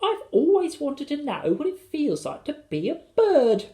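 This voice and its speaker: A storybook reader voicing tiny, excitable little boys in a squeaky, childlike manner. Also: unhurried, exaggerated voice. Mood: excited.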